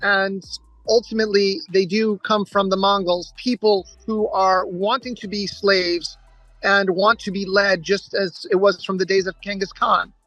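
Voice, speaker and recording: A woman's voice: medium pitch, steady tone, takes it slowly, a bit bright, no room sound. A woman speaking as a spokesperson on a call, making emphatic, declarative political remarks. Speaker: former military spokesperson. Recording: dull lo-fi call audio with music.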